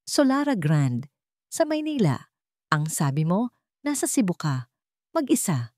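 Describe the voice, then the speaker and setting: A woman's voice: lowish voice, dry recording. A woman with a Filipino accent voicing a wounded but determined wife in a story, restrained and weighty. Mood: calm, grave. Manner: calm but weighty, halting. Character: wounded, determined wife confronting her cheating husband.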